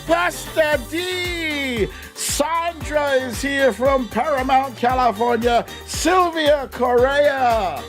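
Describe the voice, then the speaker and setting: A man hosting a live streamed show, chatting to viewers in a playful, theatrical way with drawn-out exclamations. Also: extremely high pitch, fairly bright tone, faint music behind. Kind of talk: live chat-show talk, greeting viewers by name.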